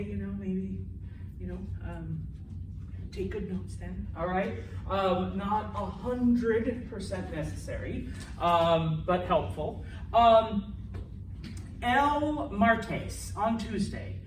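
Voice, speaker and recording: A man's voice: high voice, expressive, takes it slowly. Man teaching in an echoey classroom. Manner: casual and joking, keeps checking in with the class. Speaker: language instructor. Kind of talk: classroom lecture. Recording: echoey classroom from a distance, faint noise.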